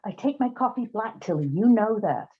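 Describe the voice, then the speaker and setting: An actress playing a brisk, wry woman who runs an odd job agency in an old-time radio comedy. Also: medium pitch, animated, husky. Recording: slightly dull, muffled sound.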